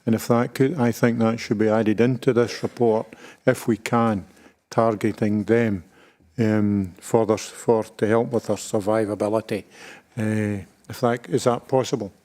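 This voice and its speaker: A man speaking as a councillor in a council debate, halting and restarting sentences, with dry humour. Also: fairly low pitch, steady tone, a little mellow. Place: council chamber.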